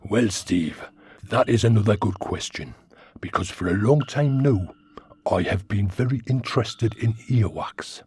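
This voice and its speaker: An actor playing a cheeky, chatty giant talking worm in a radio sitcom, with a gruff, husky Geordie voice and a blunt, rambling manner. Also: male voice, fairly low pitch, animated, measured pace, darkish tone, no room sound, exaggerated voice. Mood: musing. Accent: Geordie, northeast England. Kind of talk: comic radio sitcom acting.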